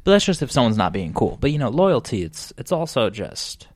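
Guy in his late 20s chatting over drinks, mid-pitched, goes off on tangents, dead dry sound. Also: big pitch swings, fairly quick, a little mellow, no room sound. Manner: rambling, swears freely, tangents. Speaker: podcast co-host. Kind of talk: casual chat over drinks.

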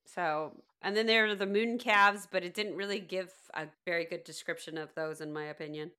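A woman's voice: fairly low pitch, a little fast, sharp tone, smooth. A woman co-hosting a podcast in casual chat, informal, salty and wry in the way she talks. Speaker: podcast co-host.

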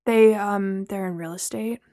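A shy, uneasy young man, voiced by a woman narrator in an audiobook. He speaks quietly and hesitantly. His words come out as an embarrassed mutter. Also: mid-range voice, steady tone, measured pace, no room sound, studio quality, lightly acted. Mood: uncomfortable.

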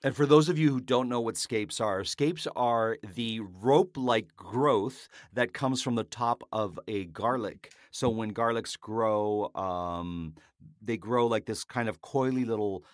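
A man's voice: fairly low pitch, a little slow, no room sound, studio quality. A man co-hosting a casual food chat, rambling happily through stories. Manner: chatty, rambling storyteller. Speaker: podcast co-host.

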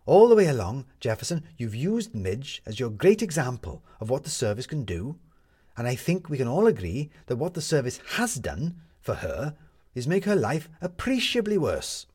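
A man's voice: mid-range voice, sing-song, normal speed, darkish tone, slightly rough, voice pushed up. A man voicing a commanding, wry woman reporter in a story, articulate and sardonic as she lectures. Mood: cutting.

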